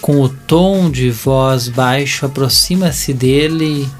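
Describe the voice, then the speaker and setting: A man's voice: mid-range voice, slow, faint music behind. A man with a Brazilian accent reading scripted story narration for an audio drama.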